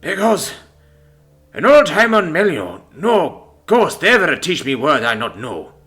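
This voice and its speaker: A man voicing a wary, haunted military officer with a croaky voice and halting, broken English in a Greek accent. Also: medium pitch, very animated, sharp tone, soft background music, heavily acted. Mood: bitter, distrustful. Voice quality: croaky from disuse. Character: wary, haunted stranded male major, gruff and suspicious.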